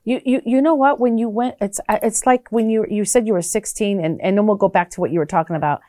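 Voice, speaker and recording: A woman's voice: medium pitch, quick pace, warm tone, no echo, studio quality. A woman interviewing a guest, chatty and frank, often affirming what she hears. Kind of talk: conversational interview.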